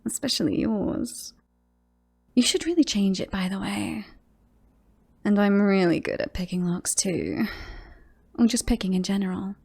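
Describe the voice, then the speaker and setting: A woman playing a possessive, lovestruck female werewolf in a roleplay audio drama, teasing and boastful, often slipping into breathy whispers. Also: mid-range voice, a little mellow. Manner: slow, breathy asides, often dropping into whispers.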